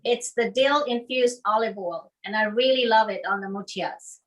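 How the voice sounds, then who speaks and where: A woman's voice: fairly high pitch, normal speed. A woman demonstrating a recipe on a video call, enthusiastically describing each step. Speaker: home cook demonstrating a recipe. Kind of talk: cooking demonstration. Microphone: distant mic on a video call. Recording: distant mic on a video call.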